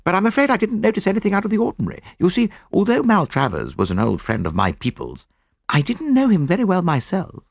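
A man voicing an eager, slightly uneasy young British army captain, with brisk, clipped, well-bred chatter. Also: sits high, huge pitch swings, gravelly, dry recording, clean studio sound, voice pushed up, exaggerated voice. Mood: obliging. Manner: brisk, clipped, breezy upper-class chatter.

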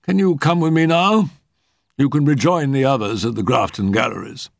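A man voicing a courteous, fussy upper-class older gentleman with a formal, brisk, polite manner. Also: mid-range voice, gravelly, dry recording, clean studio sound, pitched above the performer's own voice, lightly acted.

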